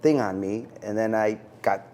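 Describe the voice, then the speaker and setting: A middle-aged man, a physician guest, answering interview questions in an earnest, halting way with many fillers. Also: lowish voice, darkish tone. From United States. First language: Macedonian.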